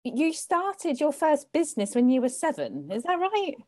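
Interviewer: woman with a higher voice, bubbly and gushing, crowd murmur behind. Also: wildly swooping pitch, normal speed. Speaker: podcast interviewer. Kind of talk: casual interview chat.

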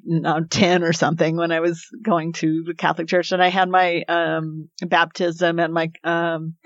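A woman's voice: lowish voice, level tone, normal speed, no room sound. A woman in her forties from Oklahoma, a podcast guest telling rambling stories about her childhood with frequent laughs. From Oklahoma, USA. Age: 40s. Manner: chatty, giggly, rambling storyteller. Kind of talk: casual interview chat. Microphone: studio mic. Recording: slightly dull, dry studio sound.

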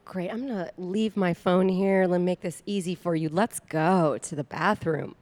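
Lady doing a flirty mom on a date, low mellow voice, affected and suggestive, improv parody. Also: fairly even intonation, moderate pace, lightly acted. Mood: seductive. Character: coy seductive mother on a dinner date. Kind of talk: improvised comedy parody of radio play.

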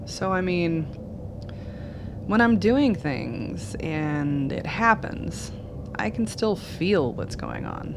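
Radio play role: actress as bored, flirty female psych patient, blunt, slow delivery, lively pitch, clearly noisy track. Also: full character voice. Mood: frank, teasing. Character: Nina, bored, frank, flirtatious female mental-ward patient.

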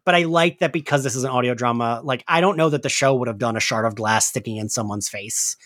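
A man's voice: medium pitch, fast, a bit bright, no room sound, studio quality. A man hosting a podcast, casually recapping an episode in informal, chatty speech peppered with "like". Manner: informal, frequent "like" and swearing. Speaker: podcast host.

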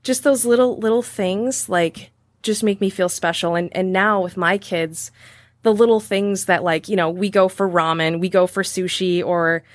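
A woman's voice: mid-range voice, steady tone, normal speed, dry recording. A woman in her thirties with a Wisconsin accent, talking casually as an interview guest, chatty with many fillers. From Wisconsin, USA.

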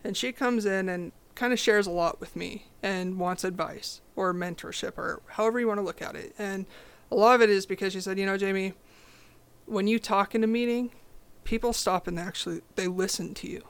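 A young woman with a low voice, talking as a podcast guest in a direct, confident, casual way. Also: normal speed, vocal fry, clean tone. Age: young adult. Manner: confident, direct, animated storytelling. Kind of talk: casual interview chat.

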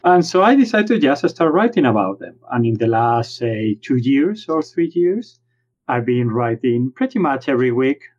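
Guest spot: man, Spanish accent, mid pitch, lively and self-effacing, lo-fi remote audio. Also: normal speed. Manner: animated, self-deprecating, frequent restarts. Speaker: board game writer. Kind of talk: casual interview chat.

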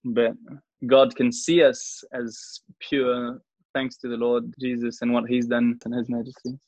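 A man's voice: mid-range voice, flat delivery, measured pace, darkish tone, dry recording, rough recording. A young man from Switzerland with a New Zealand-influenced accent, chatting earnestly and casually as an interview guest. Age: young adult. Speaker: podcast guest, church worship singer.

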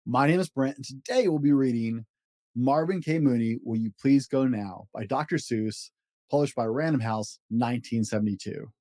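A man's voice: mid-range voice, normal speed, dark tone, dry recording. A man hosting a children's story podcast, reading aloud expressively with an emphatic, rhythmic delivery.

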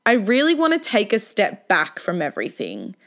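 A woman's voice: mid-range voice, wildly swooping pitch, normal speed, a bit bright. A woman in her twenties hosting her own podcast, reading a scripted narration in a candid, self-deprecating way. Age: 20s. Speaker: podcast host.

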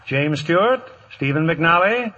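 A man hosts a vintage radio theater show in scripted 1950s style. His voice is bright and forceful with a raspy grain, pitched a bit high, on a dull old broadcast recording. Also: expressive, measured pace. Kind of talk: scripted 1950s radio curtain-call banter.

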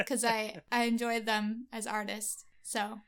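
A woman's voice: fairly high pitch, little pitch movement, takes it slowly, a bit bright. A young woman singer-songwriter answering interview questions casually, with hesitant fillers and frequent giggles.

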